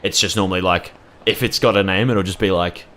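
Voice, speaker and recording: A man's voice: low voice, fairly even intonation, fairly quick, bright, forceful tone, a little hiss or hum. A man giving casual commentary over a game, rambling with fillers and swearing freely. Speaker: gaming streamer.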